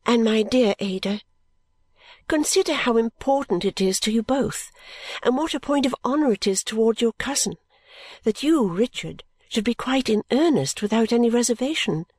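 A woman voicing a sensible, caring young woman in an audiobook, earnest and gently reasoning. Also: on the high side, lively intonation, moderate pace, breathy edge, dry recording. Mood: earnestly urging. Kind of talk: audiobook character dialogue.

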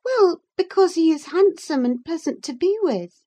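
A high, swooping young lady's voice acted by a woman narrator, headstrong and petulant. She is impulsive and insistent, full of exclamations, as in a dramatised audiobook of a classic novel. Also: expressive, moderate pace, dark tone. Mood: petulant, defiant.

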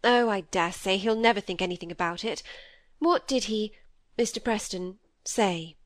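A woman's voice: medium pitch, moderate pace, no echo, natural voice. A woman voicing a nervous, scornful young woman in an audiobook, emphatic and self-pitying. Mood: peevish. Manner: emphatic, agitated. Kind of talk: acted audiobook dialogue.

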